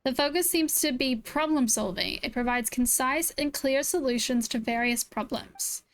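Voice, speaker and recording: Bubbly, high-voiced woman streamer. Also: moderate pace. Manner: bubbly, chatty, reading game text aloud. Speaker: gaming streamer. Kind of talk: casual playthrough commentary.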